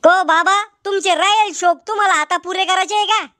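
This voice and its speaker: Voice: very high voice, fairly even intonation, fairly quick, bright, forceful tone. A performer voicing an argumentative, sarcastic village family member in a comedy cartoon. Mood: bickering. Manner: bickering, sarcastic, blunt. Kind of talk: Marathi comedy cartoon dialogue.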